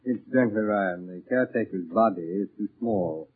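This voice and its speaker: An actor playing a coolly menacing criminal schemer with polite understatement, in 1940s radio style. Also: male voice, lowish voice, brisk, breathy edge. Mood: cool menace. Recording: muffled, narrow-band 1940s radio recording.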